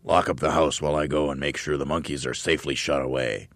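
A man's voice: rumbling low, steady tone, quick pace, a bit bright, no room sound. A man playing a cruel, bullying old man in a storybook, snarling and barking orders. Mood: scheming, hushed.

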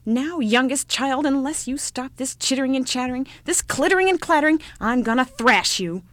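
A woman's voice: a little high, animated, moderate pace, dry recording, lightly acted. A woman voicing the Star Mother, a harried heavenly mother who scolds and fusses at her youngest child. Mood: scolding. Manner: raised, scolding and fussing. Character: busy, bossy celestial Star Mother.

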